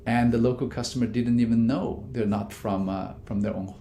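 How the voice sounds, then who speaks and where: A man, a business professor, giving measured answers in an interview with frequent fillers and restarts. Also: steady tone, warm tone. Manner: many fillers and restarts, non-native grammar; measured, explanatory. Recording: echoey room with faint rumble.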